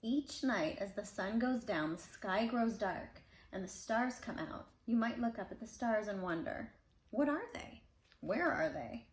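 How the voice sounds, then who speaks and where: A woman's voice: medium pitch, expressive, unhurried. A woman reading a storybook aloud to kids at home, breaking off for casual, chatty asides to a child. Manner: chatty asides to child between read passages. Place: indoors at home. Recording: roomy echo, clear background noise.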